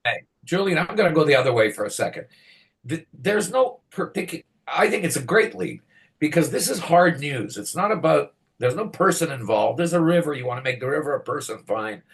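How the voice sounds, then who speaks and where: A man, a newspaper editor in a workshop, jumping in quickly to make his points in casual discussion. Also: on the high side, expressive.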